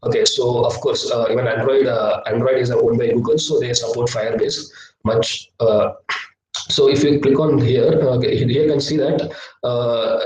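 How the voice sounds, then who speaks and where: A young man teaching a live online coding lesson, hesitant with many filler sounds, his voice slightly low from a cough. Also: fairly even intonation, moderate pace, a little mellow. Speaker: workshop instructor. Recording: echoey, distant laptop mic.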